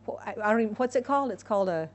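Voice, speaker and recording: A woman's voice: mid-range voice, animated, very fast. A woman from the United States preaching a sermon, emphatic and repeating her key phrases for the congregation. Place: church in Hong Kong.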